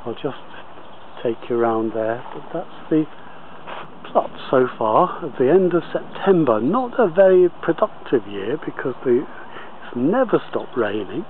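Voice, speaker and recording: A man giving an informal video tour commentary outdoors as a gardener, pausing often mid-sentence. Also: medium pitch, sing-song. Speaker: allotment gardener filming a tour. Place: outdoors on an allotment. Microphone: handheld camera held by the speaker. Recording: muffled lo-fi camera audio, outdoor noise.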